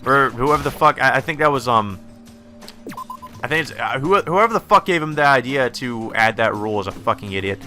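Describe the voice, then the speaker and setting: A man's voice: medium pitch, expressive, brisk, sharp tone. A man giving casual, sweary, offhand commentary while playing a visual novel. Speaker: let's play host. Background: faint game music.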